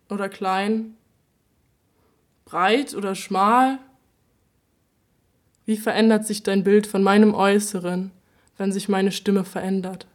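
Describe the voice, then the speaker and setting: A woman speaks slowly and directly to the listener, asking question after question to invite them to picture her. Her voice sits at a middle pitch. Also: darkish tone. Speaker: speaker in a radio play. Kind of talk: scripted address to the listener.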